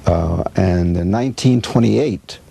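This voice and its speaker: An elderly American man recalls old times in an interview. His voice is low and mellow with a gravelly edge. He speaks haltingly, feeling his way through memories. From Chicago, United States. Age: 70s or older. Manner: halting, searching recollection. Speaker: radio veteran being interviewed. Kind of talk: reminiscing interview.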